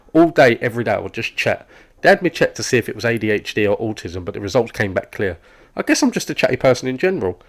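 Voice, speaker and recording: An actor playing an oblivious, relentlessly chatty office worker in an animated comedy, with a rambling, oversharing manner. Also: male voice, mid-range voice. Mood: unbothered, chatty. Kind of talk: comic animated dialogue.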